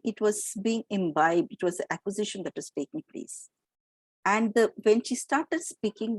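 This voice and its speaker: A woman's voice: medium pitch, breathy edge, no room sound, low-quality recording. Indian woman lecturing, dry sound. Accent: Indian English. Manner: explanatory, with fillers and restarts. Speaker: professor lecturing teachers. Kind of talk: lecture to teachers.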